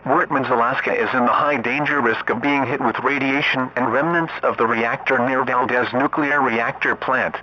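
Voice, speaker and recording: A man's voice: mid-range voice, fairly even intonation, normal speed. A man reading a scripted emergency warning broadcast in a formal, stiff, synthetic-sounding manner. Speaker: emergency alert announcer. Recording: dull lo-fi radio sound, clear noise.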